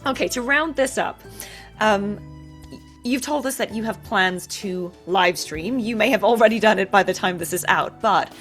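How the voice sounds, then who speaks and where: A woman's voice: mid-range voice, moderate pace, a bit bright, faint music behind. A woman hosting a casual interview, chatting informally as she asks her questions. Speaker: interview show host.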